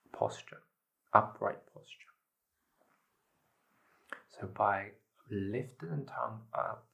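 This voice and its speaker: A man leading a guided meditation, giving measured instructions in short phrases with pauses between them. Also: on the low side, vocal fry, a bit bright, rough recording.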